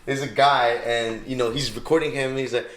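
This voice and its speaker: A man's voice: mid-range voice, fairly even intonation, a little fast, a bit bright, low-quality recording. A man hosting a podcast, chatting in a laid-back, joking way. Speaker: podcast host. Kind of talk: casual chat.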